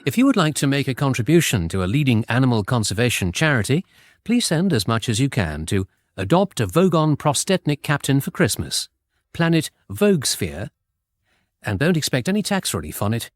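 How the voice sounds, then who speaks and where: A man's voice: mid-range voice, big pitch swings, normal speed, no echo, clean studio sound. A man with a British accent reading scripted radio credits as a continuity announcer.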